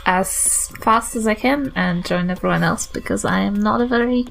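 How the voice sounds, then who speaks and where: A woman's voice: medium pitch, normal speed, creaky, slight background noise, low-quality recording. A woman with a New Zealand accent chatting casually and playfully as a player in a tabletop game. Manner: playful, informal.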